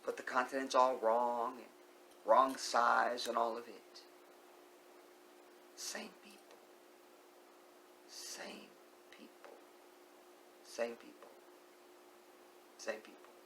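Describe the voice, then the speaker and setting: A man vlogging an opinionated monologue. He repeats key phrases, asks the viewer rhetorical questions and sometimes sinks to a hushed whisper. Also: medium pitch, takes it slowly, gravelly, pauses often, background noise.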